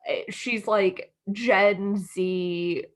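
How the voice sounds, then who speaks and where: A woman's voice: mid-range voice, steady tone, very slow. An American woman co-hosting a podcast, chatting casually in informal, slangy phrasing full of "like". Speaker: podcast co-host. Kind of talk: casual chat.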